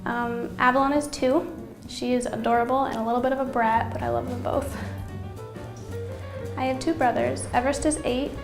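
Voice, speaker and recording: A woman's voice: on the high side, fairly even intonation, normal speed, gravelly, reverberant, over music. A nineteen-year-old woman from Maine, chatting fondly and a little hesitantly in an interview. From Maine, USA. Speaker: student interviewee. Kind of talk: casual interview answers.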